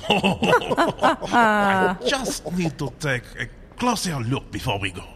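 Radio drama French gentleman painter: bewildered, polite, bright forceful tone, faint noise behind. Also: sits high, huge pitch swings, heavily acted. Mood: curious. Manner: halting, wondering, courteous. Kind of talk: old-time radio adventure acting.

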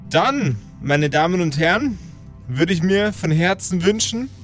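A man's voice: sits high, lively intonation, measured pace, faint music behind. A man acting as game master, casually moderating a tabletop session with playful formality.

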